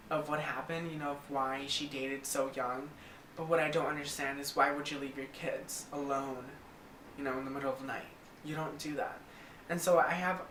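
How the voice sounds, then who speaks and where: A young man from the US South with an American accent, talking informally and a little haltingly about himself in a candid documentary interview. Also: a little high, sharp tone. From US South, Virginia and North Carolina. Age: young adult. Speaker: interviewee, model. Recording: distant roomy mic, faint noise.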